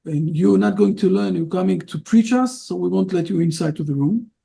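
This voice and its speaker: Gravelly high-pitched man, halting English. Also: mellow. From Israel. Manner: halting non-native English with frequent fillers. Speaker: rabbi. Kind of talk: interview guest.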